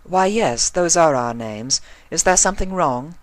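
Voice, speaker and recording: A man's voice: on the high side, lively intonation, measured pace, no room sound, voice pushed up. A man voicing a refined, dramatic young mare with genteel, theatrical diction in a story reading. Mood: indignant, surprised.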